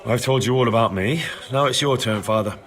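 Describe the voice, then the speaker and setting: A man's voice: medium pitch, a little fast, close to an everyday voice. An actor playing a dogged young medieval village man in a video game, plain-spoken, earnest and insistent. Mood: curious, probing. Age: young adult. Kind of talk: video game cutscene acting.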